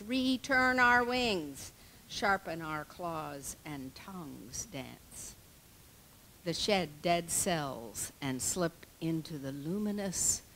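An older woman giving a dramatic spoken-word poetry recitation with deliberate, incantatory phrasing. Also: on the low side, fairly bright tone, a little hiss or hum, low-quality recording. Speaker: poetry reader. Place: dark theatre stage. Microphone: handheld-type microphone on a stand, close.

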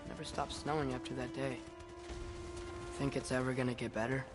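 An actor playing a gentle, earnest teenage son in a video game cutscene, soft and tender. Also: male voice, medium pitch, little pitch movement, a little fast, loud music behind the voice, close to an everyday voice. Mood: somber. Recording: game audio with loud score.

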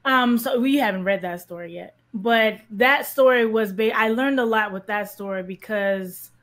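A woman's voice: a little high, moderate pace, a bit bright. A woman, a guest on an interview podcast, telling stories expressively over a video call from an office. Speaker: guest, real estate agent and author. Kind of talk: casual interview chat. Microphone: webcam on a video call.

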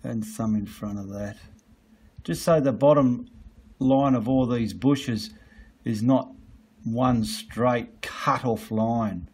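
A man's voice: medium pitch, takes it slowly, a little mellow, slightly rough. Chatty man teaching painting. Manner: chatty, blunt, mild swearing, talks while working. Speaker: painting tutor. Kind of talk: casual painting tutorial.

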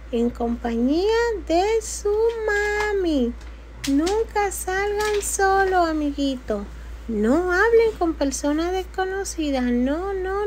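Little cartoon kid voice, super high and swoopy, preachy sing-song to kids, some noise in the recording. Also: female voice, full character voice. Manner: sing-song, admonishing, addressing a little friend. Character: small childlike cartoon character scolding and advising children. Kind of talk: pretend phone call for children.

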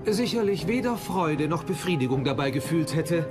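A self-assured young prince, played by a man in a historical radio play, speaks in a raised, very animated voice. His delivery is formal and declamatory, with prominent music underneath. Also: on the high side, normal speed, lightly acted. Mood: self-satisfied. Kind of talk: dubbed historical docudrama dialogue.

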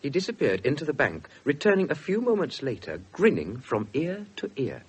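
An actor playing an earnest young police detective with a British accent, narrating and speaking in a 1970s radio drama. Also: male voice, a little high, huge pitch swings, normal speed, slight background noise. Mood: measured narrating. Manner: formal narrating, indignant in dialogue.

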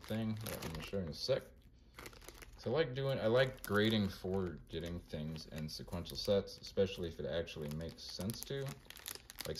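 Low monotone guy rambling over cards. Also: a little hiss or hum. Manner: mumbling card names while flipping through them. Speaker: collector vlogger. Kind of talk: casual card collection show-and-tell.

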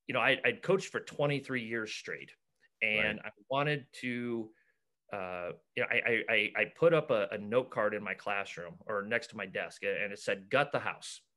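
Middle-aged American male coach, forceful bright tone, conversational, stop-and-start delivery with repeated words. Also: lowish voice. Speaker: basketball coach and clinic organizer. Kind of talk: casual video-call interview. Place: cluttered room at home, on a video call. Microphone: video call.

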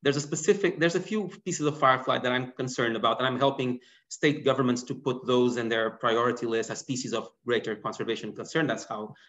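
A man's voice: medium pitch, fairly quick. A man in his thirties, originally from Portugal, answering audience questions as a guest biologist in an online lecture, talking animatedly. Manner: animated, gesturing while explaining. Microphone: laptop webcam mic on video call. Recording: dull lo-fi video call audio.

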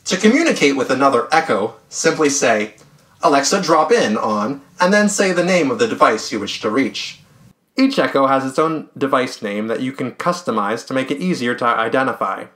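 A man in his thirties hosting a tech tutorial, speaking upbeat and clear straight to the camera in a small room at home. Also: medium pitch, expressive, normal speed, fairly bright tone. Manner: upbeat, clear presenter delivery. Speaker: tech channel host. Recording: roomy echo.

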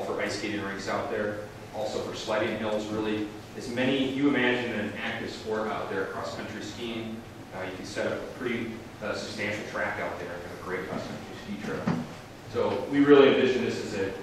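A middle-aged man gives a public presentation, pointing at slides and explaining with plenty of fillers. His voice sits low and comes from well away from the microphone. The room rings with heavy echo over a steady rumble. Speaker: developer presenting plans. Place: brick-walled room with projection screen. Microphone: far camera, no visible mic. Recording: distant, very echoey room with rumble.

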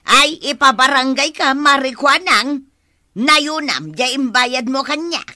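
An aggrieved village plant seller for radio comedy. The voice is high and bright, with big swings of pitch. She is whiny and exclaims melodramatically. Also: lively intonation, slow, no echo, clean studio sound, heavily acted. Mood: pleading. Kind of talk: radio comedy drama acting.

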